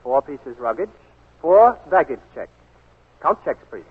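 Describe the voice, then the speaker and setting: A high, husky Japanese-accented porter in a vintage radio play. He is deferential yet stubborn, talking in clipped broken English over a tinny old recording. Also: very animated, slow, heavily acted. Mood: politely insistent. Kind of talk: 1940s radio drama acting.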